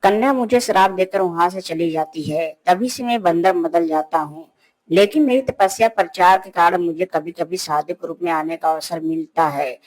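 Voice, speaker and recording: A woman voicing a gentle, pious male hermit sage, solemn and devout, in a children's cartoon story. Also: fairly low pitch, moderate pace, close to an everyday voice, poor audio. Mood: sorrowful.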